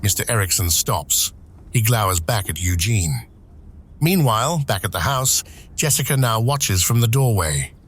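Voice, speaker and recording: A man reading dramatic scripted narration for an audio drama, with measured, deliberate phrasing. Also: fairly low pitch, expressive, slight background noise. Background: music.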